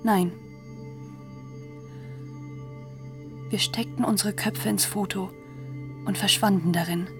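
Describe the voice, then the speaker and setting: An actress playing a grave, haunted narrator in a literary radio drama, telling the story in a measured, deliberate way. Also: female voice, mid-range voice, fairly even intonation, close to an everyday voice. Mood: haunted, solemn. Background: music.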